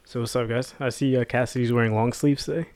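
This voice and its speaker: A man's voice: medium pitch, fairly even intonation, fast talker, darkish tone, no room sound. A man co-hosting a casual podcast, talking in a laid-back way and laughing often. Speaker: podcast co-host.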